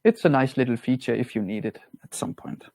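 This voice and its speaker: Man with a Danish accent, mellow and dry. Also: mid-range voice, brisk, vocal fry, dry recording. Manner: relaxed, plain-spoken, slightly non-native grammar. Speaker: product trainer. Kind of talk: webinar software walkthrough.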